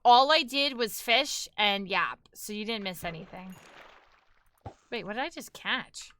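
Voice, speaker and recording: A woman's voice: mid-range voice, measured pace, sharp tone, slight background noise. A young woman with a New York accent, chatting casually with her live-stream audience while gaming, talkative and laughing often.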